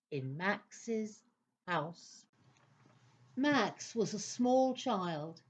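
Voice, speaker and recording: Female narrator, children's audio play; slightly high voice, slow deliberate storytelling with theatrical phrasing. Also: muffled recording.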